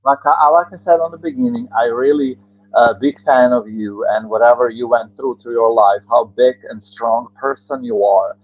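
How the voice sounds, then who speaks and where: A man's voice: mid-range voice, moderate pace, slight background noise. A man with a Croatian accent hosting an interview over a video call, hesitant with many fillers and non-native grammar. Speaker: interview host. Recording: tinny phone-like line, dry.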